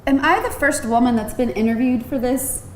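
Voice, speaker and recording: A woman's voice: on the high side, normal speed, close to an everyday voice. A woman playing a cool, skeptical, scornful job candidate with a dry, pointed manner at a live table read. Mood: cool, sardonic. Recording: roomy live event, faint noise.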